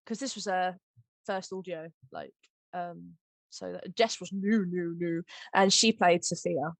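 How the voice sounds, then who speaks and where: A woman's voice: on the low side, unhurried, dry recording. A woman hosting a casual, jokey group chat about audio drama, a little short of breath as she talks. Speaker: podcast host and audio drama writer.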